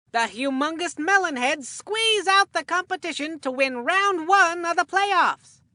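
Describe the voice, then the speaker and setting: Casting: peppy cartoon baseball commentator for a video game, extremely high-pitched, punchy announcer delivery, dry studio sound. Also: female voice, pushed and bright, no echo, exaggerated voice. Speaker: sports commentator. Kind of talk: scripted sports game commentary.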